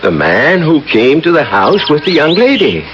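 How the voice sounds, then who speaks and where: An actor playing a nosy, chatty neighbour with a fussy, inquisitive manner in a radio drama. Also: male voice, fairly high pitch, wildly swooping pitch, normal speed. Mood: prying, excited. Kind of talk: 1980 radio drama acting. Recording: muffled, noisy 1980 radio recording.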